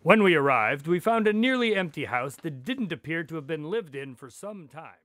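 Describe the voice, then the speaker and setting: Chatty doctor sidekick guy, man acting, kinda high voice, swoops around, wry, radio drama, dry sound. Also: lively intonation, moderate pace, a bit bright, no echo. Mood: genial storytelling. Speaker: narrator-character of a radio drama. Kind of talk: scripted radio drama narration and dialogue.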